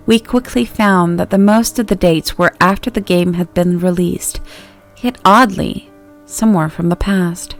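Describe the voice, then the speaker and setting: Low-voiced woman narrating creepy stories over music. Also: vocal fry. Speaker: horror story narrator.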